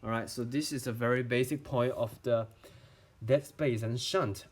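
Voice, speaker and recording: A young man from China, a medical student with a Chinese accent, talking through a physiology lesson in a careful, halting way and answering his own questions. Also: mid-range voice, steady tone. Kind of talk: lecture-style explainer video.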